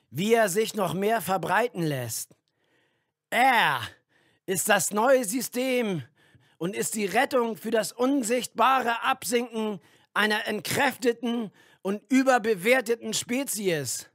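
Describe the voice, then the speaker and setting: A man's voice: high voice, slow pace, fairly bright tone, no room sound, studio quality, exaggerated voice. An actor playing a grandiose, megalomaniac leader declaiming his new world order in a radio play. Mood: fervent.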